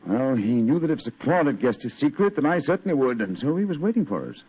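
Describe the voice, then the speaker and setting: An actor playing a brilliant, commanding detective in a clipped, dramatic old radio drama style. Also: male voice, mid-range voice, sing-song, fast talker, breathy edge, dull audio. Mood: explaining, confident. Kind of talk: 1940s radio drama acting.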